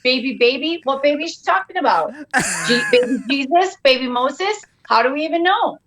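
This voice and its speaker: A woman with a fairly high voice chats as a podcast guest. She is energetic and keeps a joking, casual comic tone throughout. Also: a little fast, a crowd in the background. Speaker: guest comedian and author.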